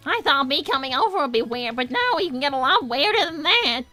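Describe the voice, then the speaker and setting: A woman voicing a chatty, bossy young female cartoon hedgehog in an animated, indignant, improvised style. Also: a little fast, soft background music, full character voice. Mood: exasperated. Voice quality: very high, girlish, bright. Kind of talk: improvised in-character livestream banter, reading viewer messages.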